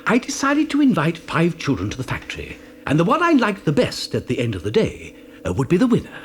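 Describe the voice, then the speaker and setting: An actor playing an eccentric, excitable old chocolate factory owner in a children's audio drama, with a theatrical, stammering delivery. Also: male voice, a little high, brisk, slight background noise. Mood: earnest. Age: older.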